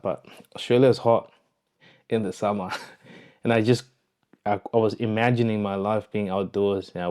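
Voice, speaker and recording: A man's voice: on the low side, darkish tone, smooth. A young man with a Zimbabwean accent telling his own story casually, in a reflective, conversational way, as a vlogger. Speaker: vlogger, online entrepreneur. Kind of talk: casual personal storytelling to camera.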